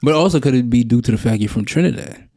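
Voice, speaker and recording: Male podcast co-host, relaxed and thoughtful, mid pitch, dry close recording. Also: fast, no room sound. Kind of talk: casual chat.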